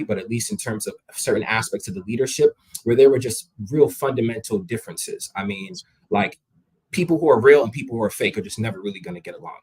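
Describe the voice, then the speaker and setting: A man's voice: on the low side, a little mellow, breathy edge, poor audio. A young man of Jamaican heritage, a guest in a casual interview, talking energetically and conversationally, often repeating his words as he starts a sentence.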